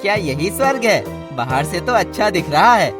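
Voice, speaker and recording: A man's voice: high voice, sing-song, moderate pace. Trusting cartoon parrot, played by a man, earnest and wide-eyed, music underneath. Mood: curious. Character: naive, trusting male parrot. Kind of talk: Hindi animated moral story acting.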